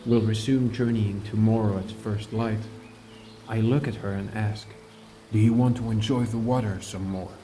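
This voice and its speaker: Fantasy audiobook lead, male actor: caring mentor adventurer, earnest, measured narration, dark warm tone, faint music behind. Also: lowish voice, fairly even intonation, lightly acted. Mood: calm, caring. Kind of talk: scripted first-person audiobook narration.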